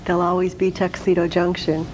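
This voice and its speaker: Enthusiastic woman guest, low voice. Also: level tone, darkish tone, slight background noise, poor audio. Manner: enthusiastic and earnest, with thinking pauses. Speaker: dance troupe director and guest. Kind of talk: interview answers.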